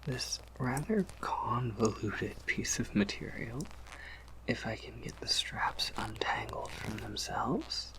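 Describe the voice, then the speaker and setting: A man voicing a gentle, wry vampire apothecary in a breathy ASMR whisper, musing softly and reassuringly. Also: medium pitch, slight background noise, rough recording. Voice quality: breathy whisper with creaky edges. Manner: gentle, reassuring, hesitant musing with soft hums. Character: soft-spoken, patient, wry male vampire apothecary, collector of oddities.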